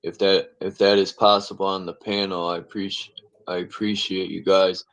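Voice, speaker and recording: A man from Connecticut hosting a casual livestream panel, talking loosely with halting restarts. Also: low voice, flat delivery, a bit bright. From Connecticut, USA.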